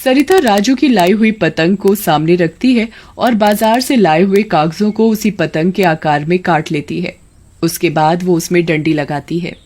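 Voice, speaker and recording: A woman's voice: fairly low pitch, moderate pace, a little mellow. A woman reading scripted narration for a children's moral story as its storyteller.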